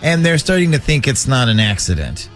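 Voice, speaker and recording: Male radio host, deadpan prank caller, calm and matter-of-fact, light music faint behind. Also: medium pitch, fairly quick. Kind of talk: deadpan prank phone call.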